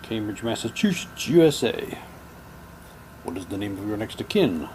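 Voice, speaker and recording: A man's voice: fairly low pitch, big pitch swings, moderate pace, a little mellow, slight background noise, rough recording. A man casually reading printed material aloud, switching between theatrical delivery and muttered asides.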